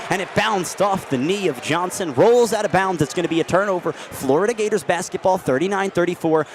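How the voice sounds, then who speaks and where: A young man in his twenties calling basketball play-by-play on the radio, with rapid, vivid play calling. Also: fairly high pitch, noisy background. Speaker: play-by-play announcer. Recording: radio call with loud arena crowd. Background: arena crowd and bouncing ball.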